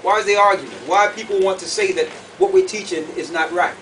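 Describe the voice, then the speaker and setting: A man's voice: high voice, brisk, a bit bright. A man preaching and teaching from scripture to a group, emphatic, repeating phrases and putting questions to his listeners. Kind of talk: sermon, conference teaching to a group. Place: conference room with an audience. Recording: distant mic, clearly noisy room recording.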